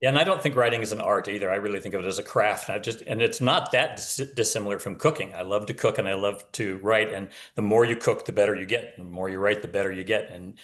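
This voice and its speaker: A man's voice: on the low side, fast talker, hardly any pauses, fairly bright tone. A man talking casually as an author guest, wry and opinionated, telling anecdotes and giving advice.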